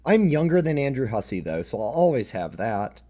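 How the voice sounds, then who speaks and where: A man in his late thirties chatting casually and haltingly with livestream viewers, in a self-deprecating way. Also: sing-song, mellow. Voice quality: deep. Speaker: streamer reading own fan fiction.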